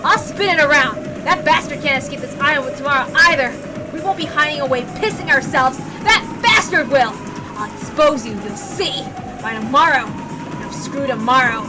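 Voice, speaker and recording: A woman acts out a hot-headed young man in a visual novel drama, high-pitched and forceful. He speaks in loud, strained, emphatic outbursts full of anguish, over loud music. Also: normal speed, natural voice. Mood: furious, defiant.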